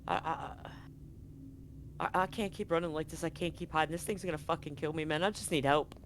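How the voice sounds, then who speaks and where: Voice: medium pitch, steady tone, brisk, close to an everyday voice. Game master playing a panicky runaway soldier woman, stammery and sweary, begging, bit of noise on the stream. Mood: desperate pleading. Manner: stammering, pleading, profane.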